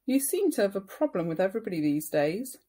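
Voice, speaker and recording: A firm storybook mum of two boys, as a woman reader plays her. She speaks earnestly and emphatically, with a slightly husky voice. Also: medium pitch, very animated, fast, mellow. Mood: reproving.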